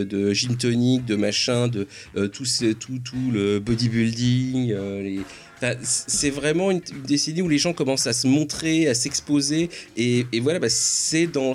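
A man's voice: mid-range voice, darkish tone. A man in a casual panel discussion, giving opinions in a hesitant way and circling back on his phrases. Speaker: podcast panellist. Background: faint music.

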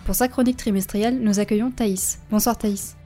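Mellow-voiced woman hosting a radio show. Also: medium pitch, steady tone, brisk, faint music behind. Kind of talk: scripted radio chronicle reading. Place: radio studio.

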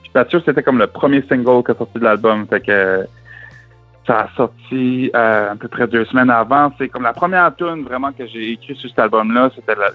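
A man's voice: mid-range voice, no echo. A young man from northern New Brunswick with an Acadian French accent, chatting loosely as a musician guest and mixing English words into his talk. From northern New Brunswick, Canada. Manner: relaxed, loose, many fillers, English words mixed in. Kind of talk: casual interview answers. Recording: tinny phone-like, dry, faint music.

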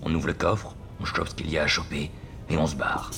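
A man playing a calm, commanding gang leader in an audio drama, matter-of-fact and slangy. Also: extremely low pitch, a little fast, gravelly, soft background music, exaggerated voice.

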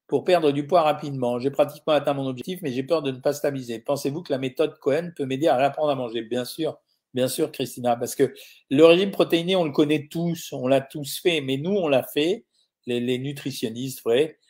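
A man's voice: medium pitch, a little fast, a little mellow. A middle-aged man, a nutritionist answering viewer questions in a casual live session, reading comments aloud and replying bluntly with many fillers. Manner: informal, blunt, uses tu with viewers, frequent euh.

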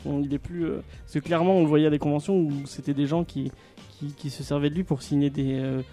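A man's voice: on the high side, steady tone, fast, mellow, soft background music. A man hosting a casual podcast discussion, hesitant with many fillers, handing questions to guests. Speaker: podcast host. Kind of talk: casual chat.

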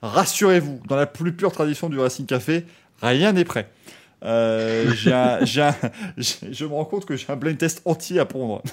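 A man's voice: mid-range voice, moderate pace. A man who grew up in Belgium, hosting a casual motorsport stream and signing off with thanks, laughing and rambling. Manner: laughing, rambling, self-mocking. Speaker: host.

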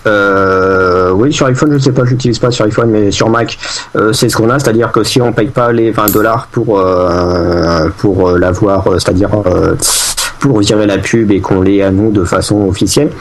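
A man's voice: lowish voice, steady tone, moderate pace. A man co-hosting a casual tech podcast, explaining news in a rambling way with many fillers and restarts. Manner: jokey, fake accents for laughs.

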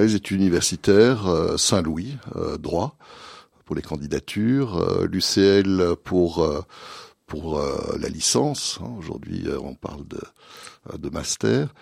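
This is a man with a very low, gravelly voice, interviewed as a business leader. He answers carefully with many small hesitations. The recording is close and dry. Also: a little mellow, no echo. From born in USA, raised partly in Belgium. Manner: measured, many "euh" hesitations. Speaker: guest, company chief executive.

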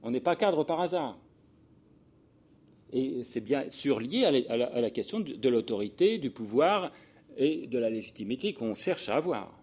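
A man's voice: medium pitch, sing-song, moderate pace, a little mellow. A man in his sixties from the Paris region giving an informal lecture with personal anecdotes, often breaking off, repeating words and circling back as he goes. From Paris region, France. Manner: hesitant, digressive delivery with self-interruptions and repeated words. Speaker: sociologist giving a talk to social work professionals.

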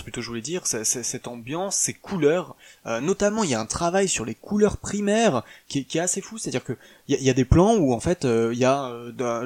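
A man's voice: medium pitch, darkish tone. A man giving a casual, hesitant film review, full of fillers and restarts.

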